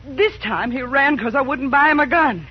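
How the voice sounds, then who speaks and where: A woman's voice: high voice, moderate pace, slightly rough. Fretful frontier mother. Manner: fretful, pleading. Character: worried, weary frontier mother of a runaway boy. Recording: dull lo-fi 1950s radio broadcast.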